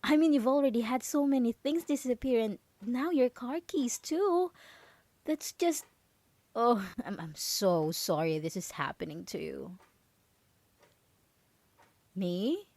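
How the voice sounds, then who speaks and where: Possessive roommate, female woman acting: ASMR roleplay, unhurried and hesitant, falsely innocent and nervously sweet, very dry recording. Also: fairly high pitch, animated, darkish tone, dry recording, heavily acted. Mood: feigned concern. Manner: halting, nervous, giggly, hesitant with many trailing pauses. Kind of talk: ASMR roleplay audio drama monologue.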